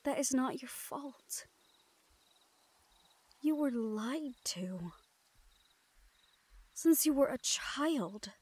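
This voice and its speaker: A woman playing a guarded but tender young thief in an intimate roleplay audio drama, speaking in a hushed, hesitant way. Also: sits high, animated, extremely slow, pauses often, slightly rough, full character voice. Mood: earnest, consoling.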